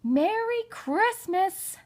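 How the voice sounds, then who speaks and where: A woman voicing a cheerful, generous grandmother in a children's storybook, festive and drawn-out in delivery. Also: very high voice, animated, voice pushed up, full character voice. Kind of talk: children's storybook character reading.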